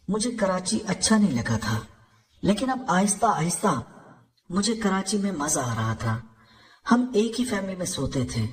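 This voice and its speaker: A man narrates a scripted story in the first person. His voice sits high for a male speaker and rises and falls in a lively way. A long reverb hangs over every phrase, as in a large hall.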